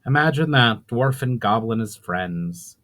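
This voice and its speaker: A man's voice: medium pitch, lively intonation, measured pace, a bit bright. A man playing a proud, courteous male elven adventurer in a fantasy audiobook, speaking in a formal, earnest way. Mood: wry surprise. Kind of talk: dramatic fantasy audiobook reading.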